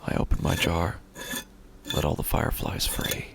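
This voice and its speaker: An actor playing a haunted, grieving, quietly unhinged husband who narrates a horror audio drama in halting fragments with a breathy, gravelly whisper. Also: male voice, slight background noise, natural voice. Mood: hushed, resolute. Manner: halting, fragmented, drawn-out phrases.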